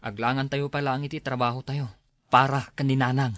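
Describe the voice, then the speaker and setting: A man's voice: medium pitch, level tone, fairly quick, fairly bright tone, no echo, natural voice. A man playing an earnest, respectful and worried grown son in a radio drama.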